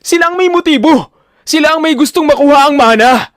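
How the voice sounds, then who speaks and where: A man's voice: very high-pitched, brisk, fairly bright tone, no echo, studio quality, pitched above the performer's own voice. A man playing an anguished, fiercely protective young son in an emotional audio drama. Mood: angry, desperate. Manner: emotional, pleading, confrontational.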